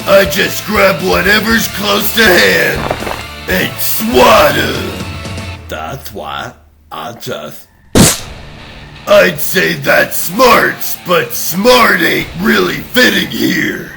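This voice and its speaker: A huge monster frog for a cartoon. The villain brags pompously in a slow, gravelly, raised voice, and loud music runs underneath. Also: male voice, high-pitched, very animated, full character voice. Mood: boastful menace. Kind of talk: comic book dub cartoon acting.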